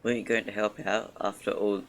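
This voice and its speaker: A woman game streamer with a gravelly voice, giving brief reactions over gameplay. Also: a bass voice, level tone, brisk, crowd noise, low-quality recording.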